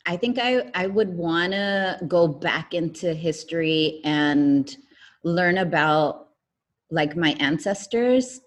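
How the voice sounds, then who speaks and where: A young woman from the United States, a guest telling her life story in a relaxed chat, with lots of "um" and "like". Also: fairly low pitch, takes it slowly. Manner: rambling, many fillers like "um" and "like". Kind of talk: casual interview conversation.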